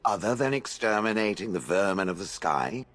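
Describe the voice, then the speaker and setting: An actor playing an aloof, sardonic stone golem in a fantasy game, with a deep gravelly voice and deadpan, haughty phrasing. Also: male voice, moderate pace. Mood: dry.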